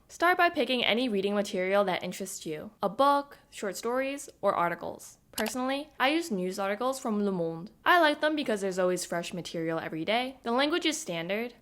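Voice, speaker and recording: A woman's voice: mid-range voice, a bit bright. A young American woman giving an upbeat, scripted tutorial on her daily study routine. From United States. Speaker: language learning vlogger.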